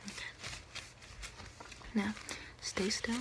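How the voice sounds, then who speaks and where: A young woman whispering breathily in an ASMR roleplay as a doctor, slow, careful and soothing. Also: noisy background. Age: young adult. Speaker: ASMR performer playing a doctor.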